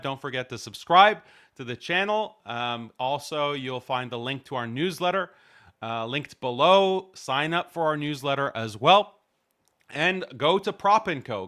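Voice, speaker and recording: A man hosting an interview show, opening with formal religious greetings and a measured, deliberate introduction of his guest. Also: medium pitch, sharp tone, clean tone. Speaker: host. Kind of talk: podcast interview over video call.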